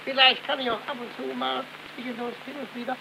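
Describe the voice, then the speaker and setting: A man's voice: very high-pitched, flat delivery, fast talker, vocal fry, pushed and bright, full character voice. An actor playing a naive, cocky, selfish male rascal in an old cinema propaganda comedy sketch. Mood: cocky, pleased. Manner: cocky, naive, exaggerated comic delivery. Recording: muffled, noisy 1940 film soundtrack.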